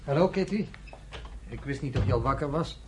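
A man's voice: mid-range voice, animated, brisk, a little hiss or hum, natural voice. An actor playing a wry, casual man chatting with a friend in a radio drama. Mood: wry, offhand.

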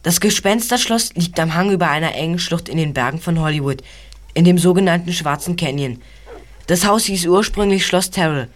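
An actor playing Bob, a studious boy detective, reporting in a factual, measured storytelling manner in an audio drama. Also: fairly high pitch, close to an everyday voice. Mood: informative.

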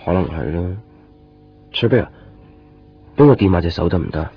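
A man's voice: sits low, animated, moderate pace, gravelly, slightly rough, exaggerated voice. A man playing a hesitant, brooding young boyfriend in a Cantonese radio drama, with a Hong Kong accent. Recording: dull 1990s radio recording, music behind.

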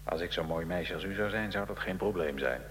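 A man acting in a radio drama as a defiant, wry nineteenth-century Italian revolutionary prisoner, telling his story gravely and with irony. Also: low voice, brisk, noisy background, lightly acted. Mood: quiet, dry.

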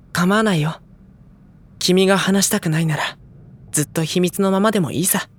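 An actor playing a gentle, earnest high school boy who speaks and narrates sincerely in an audio drama. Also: unhurried. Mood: earnest, warm.

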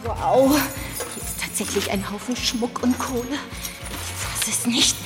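A high-pitched woman , played by an actress, is a giddy, excitable bank robber in a radio drama. Her talk is colloquial, and loud music plays behind her. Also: a little slow, lightly acted. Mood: giddy, amazed.

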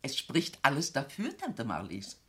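Radio drama: a sarcastic, defiant niece and ex-teacher, rough-edged voice with sweeping pitch. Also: female voice, huge pitch swings, unhurried, exaggerated voice. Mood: dry, weary. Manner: sarcastic, theatrical, pointed. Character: bitter, defiant niece, a former teacher turning on her relatives. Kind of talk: German radio play acting.

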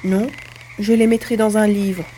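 A woman's voice: medium pitch, level tone, unhurried, warm tone, slight background noise, close to an everyday voice. A woman playing a curious, earnest little farm boy, the grandson, in a French audio drama. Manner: inquisitive, earnest.

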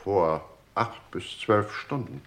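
An actor playing a matter-of-fact police doctor in a radio crime drama, clinical and measured. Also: male voice, fairly low pitch. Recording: roomy radio drama recording.

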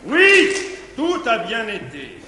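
An actor playing a jealous, domineering middle-aged guardian, declaiming French verse on a theatre stage in a 1950s live recording. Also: male voice, huge pitch swings, takes it slowly, bright, forceful tone, a faint crowd, exaggerated voice. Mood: smug. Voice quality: declamatory, booming. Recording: echoey theatre hall, faint hiss, 1959 live stage recording.

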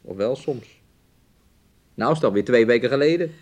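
A man's voice: medium pitch, animated, normal speed, a little mellow, close to an everyday voice. An actor in a Dutch radio play playing a controlling, possessive man who coaxes and needles with repeated questions. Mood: coaxing, controlling. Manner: coaxing, needling, repetitive questions, refers to himself in third person. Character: possessive, controlling man keeping his sick fiancée hidden away.

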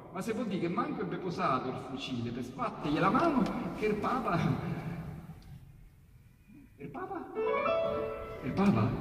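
Un uomo con accento romanesco che recita sonetti dialettali in modo teatrale, passando da esclamazioni forti a sussurri bassi. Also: male voice. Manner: dramatic, swinging from loud outbursts to hushed low asides. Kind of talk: theatrical poetry recitation. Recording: echoey hall, distant mic, faint hiss.

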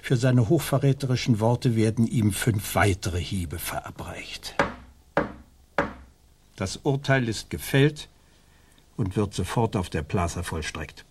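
An actor playing a stern, self-righteous colonial judge in a radio play, formally pronouncing judgment in a husky voice. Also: male voice, fairly low pitch, expressive, a little slow, a little mellow, slight background noise. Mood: stern, cold.

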